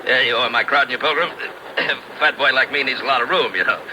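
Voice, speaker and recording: A man's voice: medium pitch, normal speed, lightly acted. An actor playing a fat, folksy, garrulous traveling salesman, chuckling and stammering as he rambles in 1950s radio drama style. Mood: jovial, chatty. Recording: noisy old 1950s radio recording.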